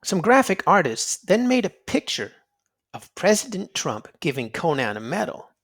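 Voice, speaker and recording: A man reading aloud to children in an expressive storybook style, dramatic and emphatic, drawing out words for effect. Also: sits high, normal speed. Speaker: children's read-aloud narrator and quiz host.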